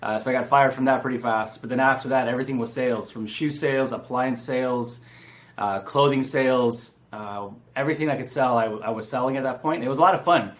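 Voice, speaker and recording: A man's voice: mid-range voice, brisk. A man from San Diego, telling his story informally and personably as a podcast guest. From San Diego, California. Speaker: podcast guest, real estate entrepreneur. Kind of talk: casual interview storytelling.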